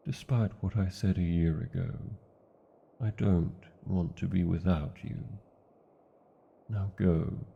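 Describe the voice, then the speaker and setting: A man's voice: sits low, creaky, dark tone, breathy edge, highs cut off, heavily acted. A man with a British accent voicing a large, devoted, proud male dragon in a fantasy ASMR romance, speaking tenderly with theatrical, formal wording.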